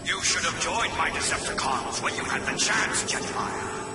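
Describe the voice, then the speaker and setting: Cruel robot warlord, domineering, theatrical, swooping intonation, heavy echo, video game villain. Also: male voice, sits high, animated, moderate pace. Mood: taunting. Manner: theatrical, taunting. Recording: echoey voice under loud music.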